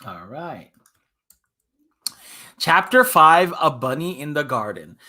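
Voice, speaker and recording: Male story-time host, highly animated pitch, casual and simple, mixing read-aloud with plain recaps. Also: slow. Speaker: imam hosting a children's story time.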